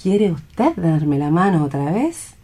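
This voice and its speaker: An actress playing a shy, lonely, childlike young nurse in a radio play, earnest and naive. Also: female voice, lowish voice, very animated, slow, warm tone, husky, full character voice. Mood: tender, happy.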